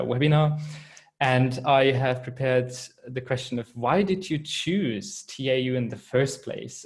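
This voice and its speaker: A man's voice: mid-range voice, darkish tone. A man moderating an online webinar over a video call, hesitant with many fillers.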